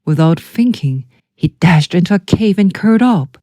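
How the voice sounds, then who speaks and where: A woman narrating a children's storybook as a storyteller, with deliberate, expressive phrasing. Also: fairly low pitch, no room sound. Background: background music.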